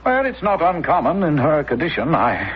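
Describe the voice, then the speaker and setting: Clinical doctor, defensive, higher-pitched husky voice, animated, muffled radio drama. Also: male voice, normal speed, slight background noise. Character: defensive, clinical male doctor, firm and evasive. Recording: muffled old radio broadcast.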